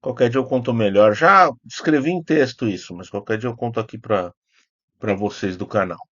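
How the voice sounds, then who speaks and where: A man's voice: medium pitch, moderate pace, a bit bright, dry recording, dull audio. A Brazilian man talking casually and unscripted as a video host, in a rambling, hesitant way, often correcting himself. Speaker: YouTube host, film critic. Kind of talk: casual unscripted vlog talk, answering comments.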